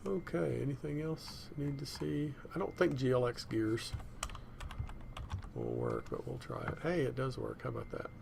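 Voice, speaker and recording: A man's voice: medium pitch, expressive, moderate pace, creaky. A man giving a casual screencast demonstration, thinking aloud in a rambling way as he works. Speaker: software tutorial presenter. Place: indoors at a computer. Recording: noisy room with keyboard typing. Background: room noise and keyboard typing.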